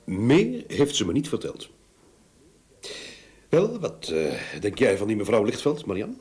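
An actor playing a patient, probing detective in a Dutch radio drama, with a hesitant, questioning manner. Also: male voice, lowish voice, huge pitch swings, a little slow, a little mellow. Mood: pensive. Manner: hesitant, questioning, with murmured hums. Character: probing, pensive male detective, patient and methodical.

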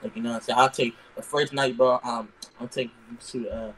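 A man's voice: mid-range voice, fairly even intonation, a little fast, fairly bright tone. An eighteen-year-old man from Los Angeles on a video call, slangy and rambling with lots of fillers. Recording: noisy call line.

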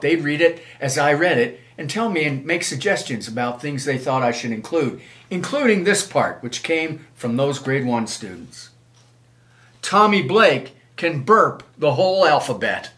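An older man reads a children's storybook aloud in an expressive, measured way. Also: a little high. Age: older, 70s. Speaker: children's author reading own book. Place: small wood-panelled room, indoors.

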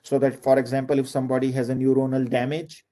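A man's voice: mid-range voice, monotone, fast, warm tone. A middle-aged man from Pakistan giving an online lecture from home over a video call, chatty and full of "like, you know" fillers. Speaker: university lecturer.

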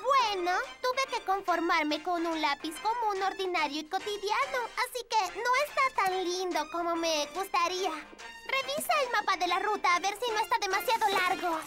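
Pushy chatty cartoon girl, tiny high voice, dramatic, loud music behind. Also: expressive, moderate pace. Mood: fussy complaint. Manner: precise, wordy, dramatic. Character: fussy, chatty, pushy young blueberry girl. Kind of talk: dubbed children's cartoon acting. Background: loud playful children's music.

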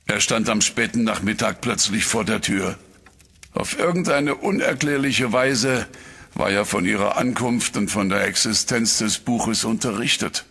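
Remorseful elderly professor for horror radio drama played by a man, gravelly, husky and tense. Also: fairly low pitch, unhurried, pushed and bright, full character voice. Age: older. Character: frightened older male professor, guilt-ridden.

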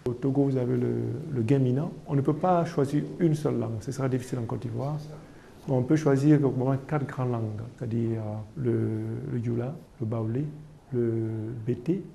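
A man's voice: mid-range voice, moderate pace, vocal fry, mellow, a little hiss or hum. A man in his fifties, a linguistics professor, explaining emphatically in an office interview. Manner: emphatic, lecturing, lists examples. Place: office, indoors.

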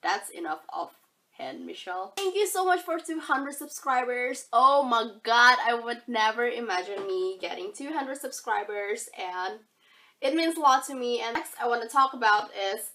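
A young woman from Indonesia, husky from a cold, chatting casually through a product review in her bedroom. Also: on the high side, animated, moderate pace, bright, forceful tone, a little hiss or hum. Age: young adult. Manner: chatty, rambling, upbeat. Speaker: beauty vlogger. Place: bedroom, indoors. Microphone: camera facing her at arm's length.

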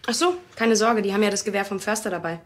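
Casting: actress as candid station colleague, rapid, mid-pitched, matter-of-fact. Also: female voice, natural voice. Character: matter-of-fact, helpful, candid female police station assistant. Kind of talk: radio drama acting.

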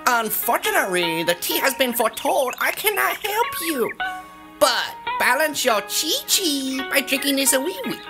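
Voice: high voice, sing-song, measured pace, heavily acted. An actor playing a cryptic, giggly mystic shopkeeper as a campy caricature in an audio drama. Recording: loud music behind.

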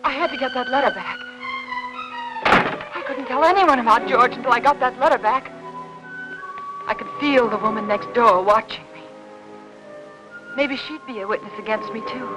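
A woman's voice: on the high side, natural voice, poor audio. An American woman in her thirties acting a frightened, anxious housewife in a 1950s film melodrama, urgent and politely pleading. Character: frightened, anxious young housewife hiding a secret. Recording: old film soundtrack with music.

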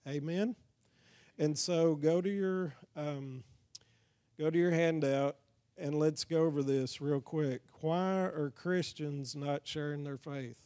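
Mellow-voiced Texan preacher, slightly high. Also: male voice, slow. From Texas, United States. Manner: plain-spoken, folksy, seeks agreement from listeners. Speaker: evangelist, guest preacher. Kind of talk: evangelism training sermon from a pulpit. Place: church, speaking to a congregation.

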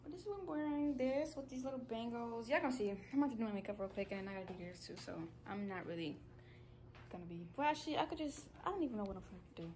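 A teenage girl with a high, youthful voice talks straight into a phone held at arm's length, filming a casual travel vlog. She chats loosely and keeps calling her viewers y'all. The phone audio is noisy and rough. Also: animated, racing, darkish tone. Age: teens. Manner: chatty, addresses viewers as y'all. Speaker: vlogger. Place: hotel room and beach, phone selfie.

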